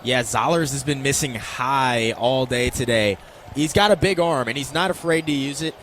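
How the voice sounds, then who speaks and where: A man's voice: medium pitch, normal speed, a bit bright. An American man giving conversational, explanatory football analysis as a radio colour commentator. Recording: live stadium ambience noise.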